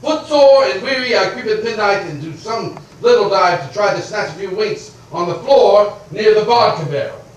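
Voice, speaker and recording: An actor playing an irascible middle-aged landowner who rants and blusters, swinging between shouting and grumbling. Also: male voice, high voice, animated, moderate pace, few pauses, very echoey, far from the mic, a little hiss or hum, poor audio. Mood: exasperated. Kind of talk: theatrical stage acting.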